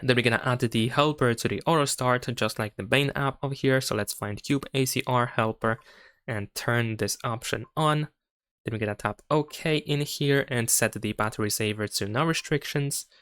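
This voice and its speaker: A man's voice: medium pitch, normal speed, a bit bright, smooth, no room sound, studio quality. A man narrating a step-by-step tech tutorial in a methodical, explanatory manner.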